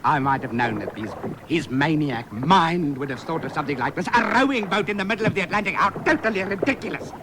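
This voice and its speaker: Male actor as indignant sidekick, slightly high voice, grumbling, radio farce with noisy boat effects. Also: lively intonation, fast, hardly any pauses, lightly acted. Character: exasperated, grumbling adventurer's sidekick adrift at sea. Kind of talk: madcap 1970s radio comedy acting.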